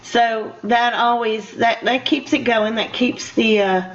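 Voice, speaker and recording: Older woman hosting, fairly high-pitched voice. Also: fairly even intonation, measured pace. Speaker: radio show host, interviewer. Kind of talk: casual conversational interview. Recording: dull lo-fi radio interview audio.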